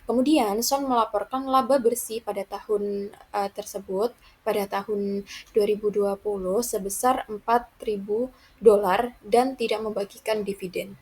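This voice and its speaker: Slightly husky young woman presenting. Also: mid-range voice, steady tone, a little slow, darkish tone. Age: young adult. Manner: formal, methodical read-out delivery. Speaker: university student presenter. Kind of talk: reading out a worked accounting example.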